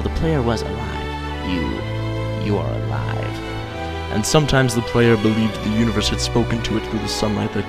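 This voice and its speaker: A man reading aloud in a slow, solemn, dramatic style as narrator, with background music behind him. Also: on the low side, creaky. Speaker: video host of a gaming channel. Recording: music under the voice.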